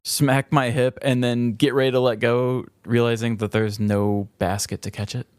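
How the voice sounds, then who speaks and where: A man's voice: mid-range voice, flat delivery, moderate pace, darkish tone, slight background noise. A man co-hosting a podcast in casual, comedic chat, telling self-deprecating stories with some casual swearing. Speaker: podcast co-host.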